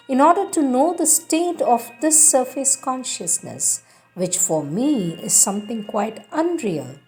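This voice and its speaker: A woman reading spiritual teachings aloud in a deliberate, emphatic, devotional way. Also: mid-range voice, a little mellow. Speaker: reader of spiritual texts. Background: music.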